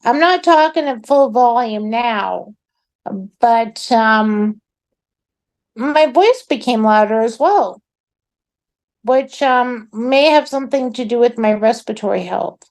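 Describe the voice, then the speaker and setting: A middle-aged woman speaking as a guest in a casual chat. Her voice sits a little high and carries loudly. She talks slowly and warmly, drawing out her words. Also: lively intonation. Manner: loud, friendly, drawn-out talk.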